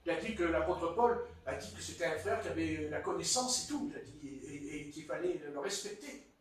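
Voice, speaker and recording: A man with a Marseille accent preaches in a lively, high voice, his pitch leaping around as he tells stories playfully. The sound is very echoey, as if caught from far across a church hall. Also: brisk. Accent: Marseille French. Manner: animated, playful storytelling with chuckles. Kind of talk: sermon.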